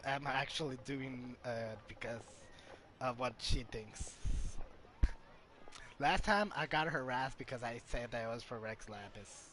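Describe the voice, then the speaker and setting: A man's voice: medium pitch, slight background noise. A man giving casual playthrough commentary as a gaming streamer, hesitantly thinking aloud. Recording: loud game music behind the voice.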